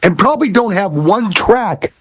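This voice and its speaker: A man's voice: sits high, animated, moderate pace, slightly rough, dry recording. A man hosting a talk show in casual panel chat.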